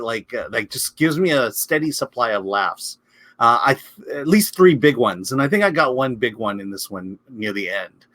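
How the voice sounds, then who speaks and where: A man with a bright, forward voice of average pitch, recorded dry and close. He talks through a film review in a casual, halting way, often doubling back on his words. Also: no room sound. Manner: hesitant, halting, repeats words. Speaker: film critic co-hosting a movie review.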